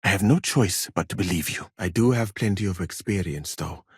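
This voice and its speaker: Courteous, deep-voiced scholar-physician. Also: male voice, breathy edge, no echo, studio quality, exaggerated voice. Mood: reasoning, assured. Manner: measured, polite, formal, articulate. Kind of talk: video game dialogue acting.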